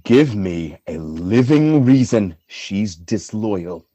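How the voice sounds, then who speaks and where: A man playing a jealous, tormented general in a Shakespearean radio drama, husky and declamatory, swinging between hushed brooding and outcry. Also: lowish voice, animated, slow pace, dry recording. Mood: menacing, tormented.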